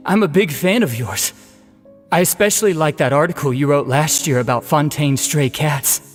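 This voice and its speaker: An actor playing a polite, smooth-talking male envoy in a video game, courteous and formal. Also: on the high side, in a large hall.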